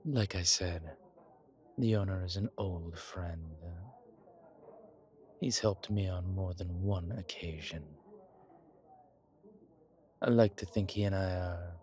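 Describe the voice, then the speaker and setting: A man playing a suave, grateful old vampire in a roleplay audio, speaking formally with pauses and quiet amusement. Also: sits low, steady tone, a little hiss or hum. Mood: gracious, inviting. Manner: genteel, formal, hesitant pauses, soft chuckles. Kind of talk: intimate one-sided roleplay audio drama.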